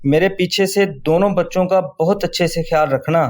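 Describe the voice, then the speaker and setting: An actor playing a firm, protective uncle in a cartoon moral story, speaking with authority. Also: male voice, sits high, steady tone, brisk, a little mellow, lightly acted. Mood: firm, stern.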